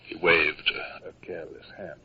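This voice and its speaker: A man's voice: a bass voice, flat delivery. A man playing a formal, resolute naval captain who also narrates, in a 1950s radio drama. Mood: measured narrating. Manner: formal, measured, throat-clearing hesitations. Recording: dull, faintly noisy old radio recording.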